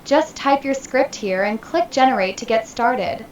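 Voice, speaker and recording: Female text-to-speech narrator, high register, promotional read, echoey with faint noise. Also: lively intonation, a little slow. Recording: dull, roomy playback, faint noise.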